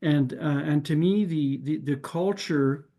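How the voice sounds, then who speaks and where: Man, mellow low voice. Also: unhurried. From Canada. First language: French. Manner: thinking aloud, frequent restarts, ends points with "right?". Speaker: discussion participant. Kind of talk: casual group discussion.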